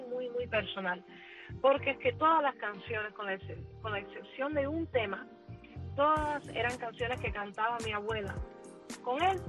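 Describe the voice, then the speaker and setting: A woman in her thirties, a musician whose first language is English, talking warmly in a radio interview and often repeating words as she finds them. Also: on the high side, poor audio. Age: 30s. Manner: warm, chatty, repeats words while finding them. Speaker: musician guest.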